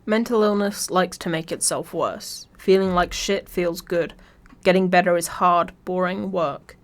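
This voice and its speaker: A woman's voice: lowish voice, fairly even intonation, measured pace. Wry woman narrating a video essay.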